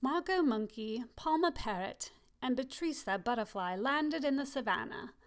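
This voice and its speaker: A woman reading a children's picture book aloud, expressive and slowing down on key phrases. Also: high voice. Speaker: storybook reader. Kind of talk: children's story read-aloud.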